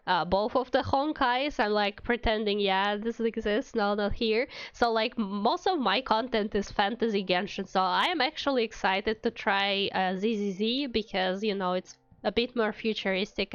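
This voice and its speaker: A woman's voice: mid-range voice, fairly bright tone, clean tone, no echo, muffled recording. A woman streaming a game, chatting casually and musing aloud, trailing off mid-thought. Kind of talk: casual livestream chat over a game.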